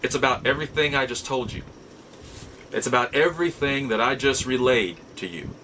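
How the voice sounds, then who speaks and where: A middle-aged man hosting a show, telling nostalgic stories casually in a halting, reflective way with emphatic repeats. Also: mid-range voice, pushed and bright, a little hiss or hum, poor audio. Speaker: show host. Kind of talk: casual nostalgic storytelling to viewers.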